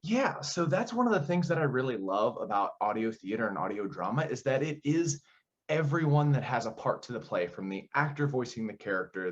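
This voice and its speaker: A man with a fairly low voice speaks as an interviewed director. He explains things conversationally and tosses in rhetorical questions. The recording is a little dull and lo-fi. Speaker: theater director being interviewed.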